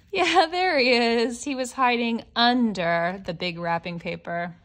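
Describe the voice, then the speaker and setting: A woman reading a picture book aloud to young children, explaining it simply in an expressive, questioning, child-directed manner. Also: a little high. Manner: expressive, questioning, with gasps and hushed asides; deliberate, child-directed phrasing. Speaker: storybook reader for young children.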